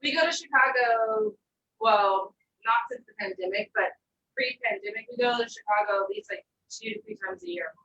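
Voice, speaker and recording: Animated woman in her forties, echoey and distant. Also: on the high side, muffled recording. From Southern California. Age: 40s. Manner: animated, exclamatory, talks in quick asides. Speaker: workshop co-host. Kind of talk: casual live-stream chat. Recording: roomy echo, distant from the mic.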